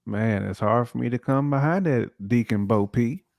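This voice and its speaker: A man's voice: mid-range voice, normal speed, gravelly, a little mellow, no room sound, clean studio sound. A man co-hosting a podcast, chatting casually in slang peppered with filler catchphrases. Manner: slangy, peppered with "you know what I'm saying". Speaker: podcast co-host.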